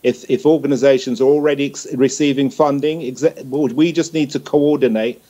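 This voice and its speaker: A man's voice: mid-range voice, steady tone, moderate pace, dark tone, muffled recording. A man explaining plans as a guest in a panel discussion. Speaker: music organisation panel guest.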